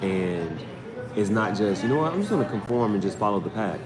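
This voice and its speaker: A man's voice: on the low side, sing-song, brisk, music in the background. A man hosting a casual live tarot reading, partly reading aloud and partly improvising, repeating phrases for emphasis. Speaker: tarot reader hosting a livestream.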